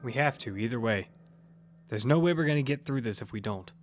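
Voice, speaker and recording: A man's voice: mid-range voice, lively intonation, a little fast, lightly acted. An actor playing a wary young man who narrates and speaks in a battle-survival audio drama. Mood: cautious, serious. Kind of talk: first-person audio drama narration and dialogue. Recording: music behind the voice.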